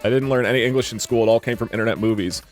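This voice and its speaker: A man's voice: medium pitch, very fast, soft background music. A man streaming from a green screen setup, chatting casually and rambling with lots of restarts while reacting to viewer comments. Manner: rambling, animated, frequent restarts and fillers. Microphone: desk mic at a green screen setup.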